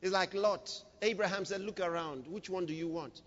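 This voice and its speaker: A man's voice: sits high, moderate pace, fairly bright tone. A man preaching a dramatic, emphatic sermon to a congregation, reading scripture and driving phrases home through repetition. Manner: engages the congregation with questions and humour. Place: large reverberant church hall, preaching to a congregation. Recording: muffled, hall with long reverb.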